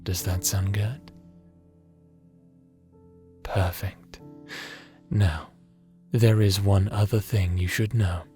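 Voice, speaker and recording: A man playing a gentle, fatherly creator god with a hidden weariness in a scripted audio roleplay, soft-spoken and deliberate, sometimes slipping into a whisper. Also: on the low side, fairly even intonation, faint music behind. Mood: tender, doting. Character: gentle fatherly creator god, tender mentor, secretly haunted and weary.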